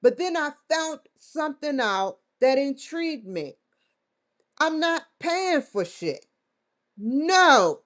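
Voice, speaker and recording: A woman's voice: high-pitched, very animated, slow, a bit bright. A woman vlogger giving a defiant, confrontational monologue straight to the camera, swearing often. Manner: defiant, confrontational, with frequent profanity. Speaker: vlogger hosting her own show.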